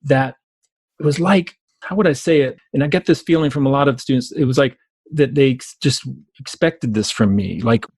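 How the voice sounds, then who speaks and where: A man's voice: medium pitch, moderate pace, darkish tone, husky, no room sound. A man with a Southern US accent, a business coach talking as a podcast guest in emphatic, repetitive run-on sentences over a remote call. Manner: emphatic, repetitive, talks in long run-on stretches. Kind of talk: conversational interview.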